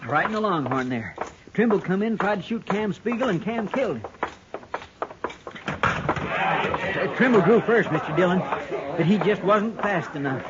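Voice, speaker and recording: A man's voice: sits high, lively intonation, a little slow, pauses often. An American actor playing an eager, deferential lawman's helper in a radio western, with a folksy rustic manner. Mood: urgent, excited. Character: excitable, deferential male frontier deputy's helper. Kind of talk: 1950s radio western acting. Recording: muffled, hissy 1950s radio recording.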